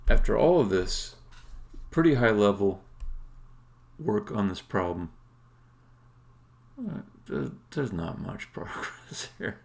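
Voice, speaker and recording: A man talking casually and haltingly as he thinks aloud on a live coding stream, with some words stressed emphatically. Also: lowish voice, lively intonation, vocal fry, darkish tone. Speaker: game developer livestreaming.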